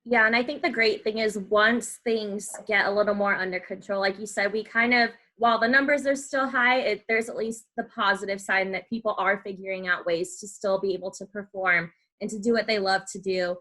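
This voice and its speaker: A young woman interviews in an informal, chatty style. Her voice is crisp and very bright, pitched in the middle range for a woman. She hosts conversationally, as in a relaxed podcast. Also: fairly quick, hardly any pauses. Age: young adult. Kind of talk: casual interview chat.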